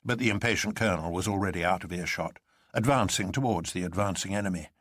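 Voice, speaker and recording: A man's voice: deep voice, fast talker, fairly bright tone, no echo, clean studio sound. A man narrating an adventure story in a scripted, dramatic reading style.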